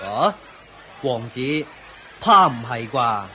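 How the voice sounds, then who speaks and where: An actor playing a dutiful court official in a radio drama, formal, declamatory and deferential. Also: male voice, mid-range voice, very animated, slow pace, sharp tone, a little hiss or hum, full character voice. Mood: measured, worried. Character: dutiful court official announcing royal contest results.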